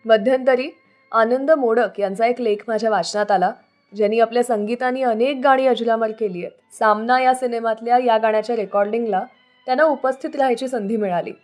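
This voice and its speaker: A woman's voice: on the high side, fairly even intonation, a little fast, a little mellow. A woman hosting a radio show, narrating scripted stories about old songs. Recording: music playing under the voice. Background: background music.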